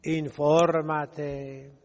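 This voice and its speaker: Older male preacher, extremely slow delivery, emphatic scolding homily, long church reverb. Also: a little high, little pitch movement. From Mexico. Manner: emphatic, scolding, deliberate with dramatic pauses. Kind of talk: fiery homily, exhorting the congregation. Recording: echoing hall with long reverb.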